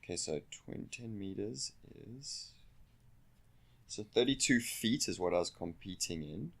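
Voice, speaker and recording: A young South African man speaks as a guest on a podcast, with a very low, gravelly voice. He is relaxed and laughs easily, and fills his answers with a lot of "yeah". His South African accent is plain. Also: measured pace. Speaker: podcast guest, circus acrobat. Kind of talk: casual interview chat.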